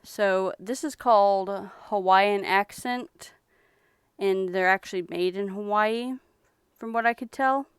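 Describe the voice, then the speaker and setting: Young woman, casual live-stream chat, rambling, very clear voice, dry close sound. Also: medium pitch, takes it slowly, no echo. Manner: chatty, rambling, relaxed. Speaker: streamer reselling thrift finds.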